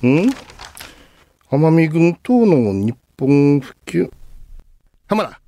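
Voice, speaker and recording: Animated, decisive radio station boss. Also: male voice, a little high, extremely slow, full character voice. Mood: decisive, concerned. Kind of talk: radio drama acting.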